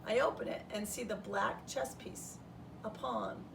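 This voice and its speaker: A woman's voice: mid-range voice, steady tone, a little slow, gravelly. Distant-miked woman reading a children's storybook. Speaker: third grade teacher reading aloud. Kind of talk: children's storybook read-aloud in the first person. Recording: distant mic, roomy background noise.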